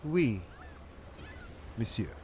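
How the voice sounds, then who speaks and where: An actor in an audio drama playing a resentful, scheming ship's officer, stiff and formal, with insinuating deference. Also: male voice, mid-range voice, big pitch swings, very slow, darkish tone, slight background noise. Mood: grudging submission.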